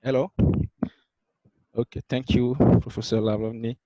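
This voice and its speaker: A pharmacist from Burkina Faso with a Burkinabe French accent, giving a hesitant graduation speech as a graduate. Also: male voice, medium pitch, level tone, normal speed, mellow, low-quality recording. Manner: hesitant, with many fillers.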